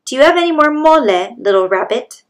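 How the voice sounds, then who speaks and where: A woman's voice: sits high, expressive, fairly quick, voice pushed up. A woman voicing a sly, greedy male coyote in a children's storybook, wheedling and demanding. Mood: needy, demanding. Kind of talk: children's storybook read-aloud.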